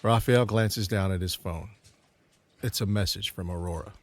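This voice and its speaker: A man giving measured, suspenseful scripted narration for an audio drama. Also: lowish voice. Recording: clear background ambient noise.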